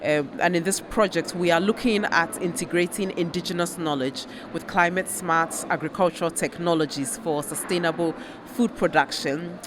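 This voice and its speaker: A woman from Ghana, a researcher and panel guest, speaking in a measured, thoughtful way with frequent fillers. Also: lowish voice, noisy background. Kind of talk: panel conversation.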